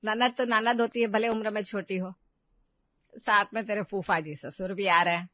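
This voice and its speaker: A woman's voice: medium pitch, steady tone, normal speed, no echo, lo-fi sound, lightly acted. A woman voicing a practical, welcoming older mother-in-law in a homely family drama.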